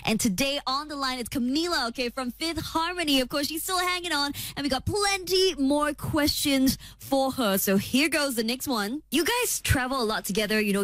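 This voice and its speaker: A woman's voice: high-pitched, fairly bright tone, no room sound. A woman hosting radio in an upbeat presenting style, bubbly and effusive as she does a celebrity interview. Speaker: radio host. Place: radio studio. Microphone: studio broadcast microphone.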